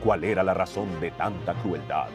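Deep-voiced pompous radio serial narrator acted by a man. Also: normal speed, muffled recording, close to an everyday voice. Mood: suspenseful. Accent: Colombian. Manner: pompous, suspenseful. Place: radio studio. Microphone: close studio broadcast mic. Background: music.

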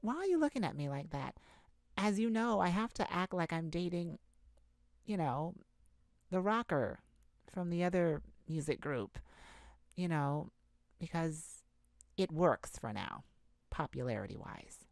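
A woman acting an exhausted, anxious pop star confiding haltingly in a bodyguard lover, in an intimate ASMR roleplay. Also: on the low side, animated, gravelly, darkish tone, no echo, studio quality. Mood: tired, resigned. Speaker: audio roleplay performer.

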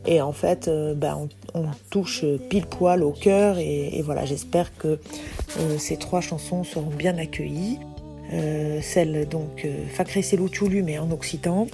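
Rambling low-voiced woman over music. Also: fairly even intonation, unhurried. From France. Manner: rambling, many hesitations. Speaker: singer-songwriter. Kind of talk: casual spoken commentary over music clips. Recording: music playing under the voice.

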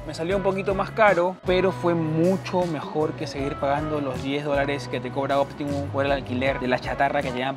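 A man in his thirties talks straight into a close camera in a small room at home. His voice sits a bit high, and he explains his costs in a chatty, direct way. Music plays clearly behind him. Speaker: vlogger. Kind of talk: casual vlog talking to camera.

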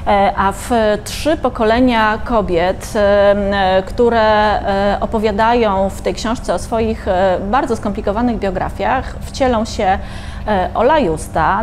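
A woman's voice: mid-range voice, darkish tone, slight background noise. A middle-aged woman announcing events with a hesitant delivery full of fillers, seated in a bookshop cafe. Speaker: event host. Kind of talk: promotional announcement.

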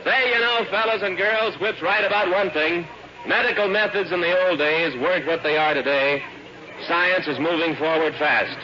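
A man's voice: fairly high pitch, normal speed, sharp tone. An American man hosting a 1940s children's radio show in a hearty, folksy showman's manner. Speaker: radio show host and announcer. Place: live radio broadcast before an audience. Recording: dull, noisy 1940s radio recording.

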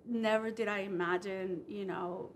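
A woman's voice: medium pitch, steady tone, a little slow, slight background noise. A woman in her forties from Puerto Rico, chatting casually and jokingly as a studio interview guest. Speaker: interview guest, freelance animator and designer. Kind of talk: casual conversational chat. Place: indoor studio set at a table.

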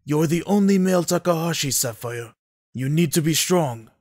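A man's voice: a little high, moderate pace, a little mellow, no room sound, studio quality, natural voice. A man playing a grave, commanding lord and guardian uncle in an amateur audio drama. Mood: solemn.

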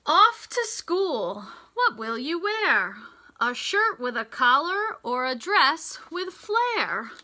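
An American woman reading a picture book aloud to young children, in a deliberate, sing-song teacher's delivery with emphasized words. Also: high voice, very animated, pushed and bright. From United States. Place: small indoor room with racks of hanging clothes.

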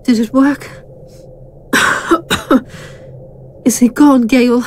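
Woman acting a slow, coaxing amateur witch. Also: sits high, fairly even intonation, slight background noise. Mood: weak, shaken. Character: sly, flirtatious woman friend dabbling in spells. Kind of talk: audio drama acting.

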